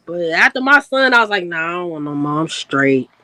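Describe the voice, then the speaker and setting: A woman's voice: on the low side, big pitch swings, fairly quick, sharp tone, dry recording. A woman in her late thirties from Chicago, chatting candidly and casually on an online panel in slangy, laid-back talk. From Chicago, USA. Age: 30s. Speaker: panel guest.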